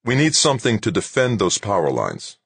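A man's voice: fairly low pitch, huge pitch swings, a little fast, creaky, a bit bright, husky, no room sound, pitched above the performer's own voice, full character voice. A man voicing a determined young leader of a besieged moon base in an audiobook, firm and urgent. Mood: urgent, commanding.

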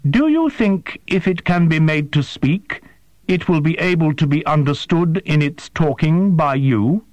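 A formal female alien interpreter from an audiobook, her pitch lifted high above a plain speaking voice, as a male narrator puts her on. She chooses her words with stiff precision and an unhurried pace. The reading is dry and close. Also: expressive, a little mellow, no room sound. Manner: stiff, overly formal phrasing.

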